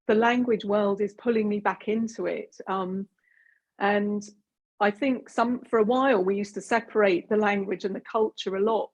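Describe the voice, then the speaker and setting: A woman from Britain with a husky voice gives an online presentation. She talks in a loose, chatty way, full of "um" and restarts. She is heard over a video call. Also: medium pitch. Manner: chatty, many fillers and restarts. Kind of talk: webinar presentation.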